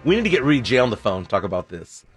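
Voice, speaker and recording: A man hosting a sports radio show, chatty and upbeat, his voice scratchy and hoarse from an illness. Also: mid-range voice, big pitch swings, dry recording, faint music behind. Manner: upbeat, chatty, quick banter. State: hoarse, ill. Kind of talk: casual sports radio talk. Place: radio studio.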